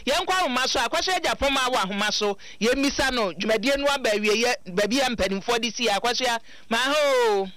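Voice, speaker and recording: A man with a Ghanaian accent anchoring radio news with quick, conversational handovers. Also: very high-pitched, pushed and bright, dry recording.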